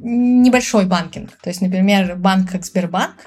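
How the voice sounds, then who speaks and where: A woman chats easily as a podcast guest, in a mid-range voice. She laughs often and fills her pauses with hesitation sounds. She brings the people in her stories to life by acting out their lines. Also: medium pitch, a little mellow, faint music behind. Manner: chatty, laughs often, many filler sounds; acts out people's lines in quotes. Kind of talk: casual chat.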